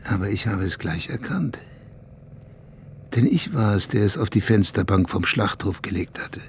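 An actor playing a wistful, bitter man in a first-person radio drama, speaking in a measured, intimate way. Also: on the low side, animated, a little hiss or hum. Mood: brooding. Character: wistful man recalling a lost idol, brooding and bitter.

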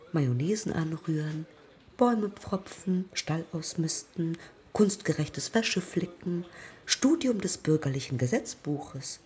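A woman's voice: sits low, darkish tone. An actress playing an eager, headstrong young woman pilot of the 1920s in a radio play, telling her story reflectively in clipped fragments. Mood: wry. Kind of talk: radio play monologue and dialogue.

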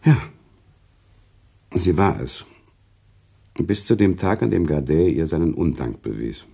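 Grave, gravelly-voiced storytelling author. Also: male voice, deep voice, big pitch swings, dark tone, exaggerated voice. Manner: measured, literary, earnest delivery. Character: grave, compassionate male author recounting a friend's tragic love. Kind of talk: German radio play storytelling and dialogue.

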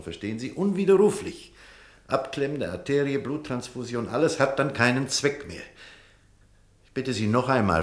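An actor playing an earnest doctor in a German radio drama, speaking with urgent, firm reasoning. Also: male voice, mid-range voice, lightly acted. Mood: urgent pleading. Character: earnest male doctor recounting and pleading with a patient. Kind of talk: German radio drama acting and first-person narration.

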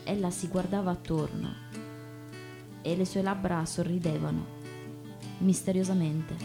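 A woman's voice: on the low side, steady tone, a little slow. A woman giving a dramatic literary reading on stage. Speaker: reader in a stage show. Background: soft guitar music.

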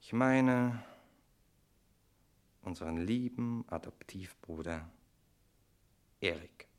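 Deep-voiced, slyly mocking schemer. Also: male voice, slow pace, noisy background. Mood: amused, mocking. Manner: suave, theatrical, mocking. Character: smug, sly, mocking brother, calculating schemer. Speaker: radio play actor. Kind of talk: 1960s German radio play acting.

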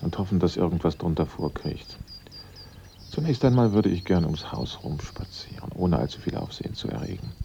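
An inquisitive amateur sleuth in a radio play, low and dark in tone. He probes with dry courtesy and keeps a cool head. The recording is dull and hissy. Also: animated, normal speed, gravelly. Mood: casually probing. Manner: probing, dryly polite. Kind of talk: German radio drama acting.

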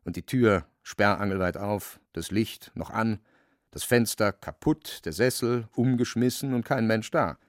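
A man's voice: on the low side, measured pace, no room sound, studio quality. A man narrating a literary radio drama in a wry, dramatic storytelling manner.

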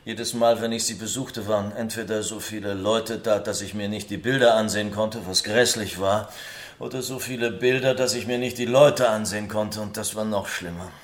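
A languid gentleman of leisure acted by a man in a radio play. He is aloof and witty, delivering dry irony in a fairly low voice. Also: steady tone, normal speed, natural voice. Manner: dandyish, drily ironic. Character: languid, witty English aristocrat, aloof aesthete. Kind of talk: German radio play acting.

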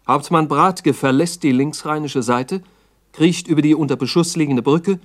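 Slightly high-pitched male radio narrator, terse. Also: moderate pace. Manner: terse, factual present-tense reporting. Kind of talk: 1960s documentary radio drama narration.

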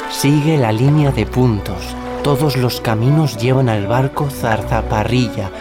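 An actor with a Castilian accent playing a grandiose, lovesick dreamer turned pirate in a scripted radio comedy. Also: male voice, mid-range voice, a little slow, lightly acted. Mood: excited discovery. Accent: Castilian Spanish. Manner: theatrical, grandiose, poetic. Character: dreamy, lovesick postcard-obsessed man turned swashbuckling pirate. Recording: studio recording under loud music.

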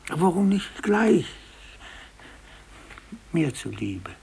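An actor playing a bitter older male office employee with a raspy voice, hesitant yet insistent, in a 1950s German radio play. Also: on the high side, sing-song, very slow, a little mellow, heavily acted. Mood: pushy, persuasive. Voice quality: raspy, husky. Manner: hesitant, insistent, repeats himself.

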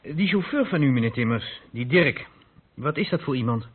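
An actor playing a stern, reproachful police inspector in a radio drama, questioning in a clipped, insistent, authoritative way. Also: male voice, medium pitch, animated, moderate pace, husky. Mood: probing, sceptical. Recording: muffled narrow-band old radio recording.

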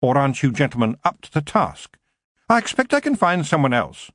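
A man voicing a clever young girl witch who speaks crisply and firmly, with a husky voice. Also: medium pitch, big pitch swings, a little fast, fairly bright tone, no room sound, clean studio sound, pitched above the performer's own voice. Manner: crisp, sweetly firm.

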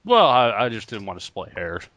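A man's voice: lowish voice, very animated, normal speed, a bit bright, no echo, highs cut off. A man co-hosting a podcast in casual joking banter, laughing often. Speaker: podcast co-host. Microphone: podcast mic.

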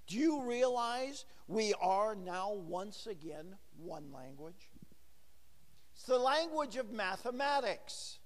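A middle-aged male pastor preaching in a church, his fairly high voice ringing out through a long, echoing reverb. He takes his time, speaking slowly, and his delivery stays conversational, peppered with asides and rhetorical questions. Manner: conversational, jokes with the congregation, asks rhetorical questions. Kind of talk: sermon. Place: church sanctuary. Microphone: handheld or lapel microphone, recorded for a booth.

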